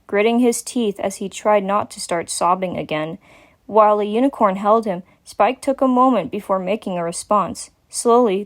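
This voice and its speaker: Female story narrator, mid-range pitch, scripted dramatic reading of fiction.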